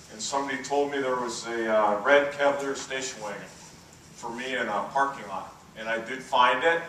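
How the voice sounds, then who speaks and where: A middle-aged man with a booming, bright voice gives a relaxed keynote, easing his stories along with "uh" fillers. He is picked up from a distance in a very echoey conference hall. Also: a little hiss or hum. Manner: relaxed, self-deprecating storytelling with frequent "uh" fillers. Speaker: keynote speaker.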